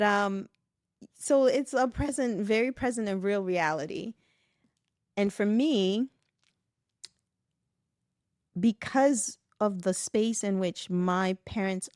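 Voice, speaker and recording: Woman, middle-aged, from West Africa, guest, animated storyteller, acts out quotes, dry close sound. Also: mid-range voice, dry recording. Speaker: podcast guest. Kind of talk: reflective conversation.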